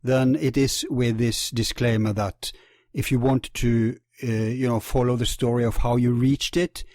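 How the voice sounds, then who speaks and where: A man hosting a podcast interview, speaking deliberately and addressing his listeners directly. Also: medium pitch, flat delivery, darkish tone, dry recording, studio quality. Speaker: podcast host.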